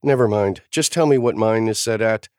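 A man voicing a sharp-tongued, competitive grown woman who talks in a snippy, wisecracking way. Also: lowish voice, normal speed, no echo, studio quality, voice pushed up, natural voice. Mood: wry.

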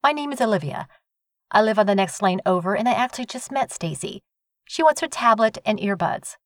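A man voicing a brash, sharp-tongued young woman neighbor in an audiobook, scolding and sarcastic. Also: sits high, lively intonation, a little fast, no echo, studio quality, voice pushed up. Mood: indignant. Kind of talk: audiobook reading.

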